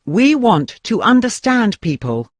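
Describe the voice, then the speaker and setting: Slow, lively-pitched lesson voice. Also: dry recording. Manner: measured, deliberate repetition. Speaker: repeating voice in a language lesson.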